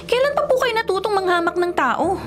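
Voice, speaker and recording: Very high-voiced headstrong young Filipina heiress. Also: female voice, expressive, a little fast. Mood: defiant, pleading. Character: headstrong young rich man's daughter, kind and defiant. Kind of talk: Filipino radio drama acting. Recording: music bed under the voice.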